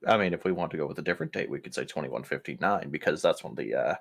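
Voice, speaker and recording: A man playing a tabletop roleplaying game, asking casual questions and thinking aloud haltingly. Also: a bass voice, lively intonation, gravelly, husky. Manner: hesitant, musing, thinking aloud.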